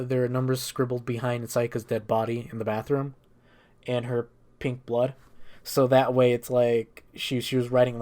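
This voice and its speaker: Rambling, sweary man recapping casually. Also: mid-range voice. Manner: rambling, profane, slangy. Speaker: video creator. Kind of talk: casual unscripted game recap.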